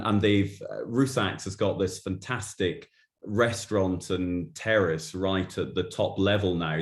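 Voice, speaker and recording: A man's voice: sits low, roomy sound. A man with a Glaswegian accent, a guest author chatting in an interview, often stammering and repeating words as he starts sentences. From Glasgow, Scotland. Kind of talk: casual interview chat.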